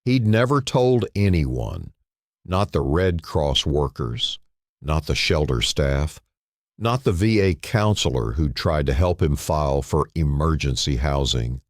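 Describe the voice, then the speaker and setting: A man narrating a scripted dramatic story in a solemn manner, in short phrases with pauses. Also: a bass voice, lively intonation, no room sound, studio quality.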